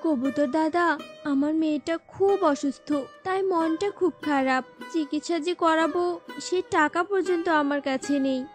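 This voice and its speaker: A woman's voice: high-pitched, unhurried, soft background music. A woman voicing a poor, tender, worried mother bird in a children's cartoon. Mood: sad.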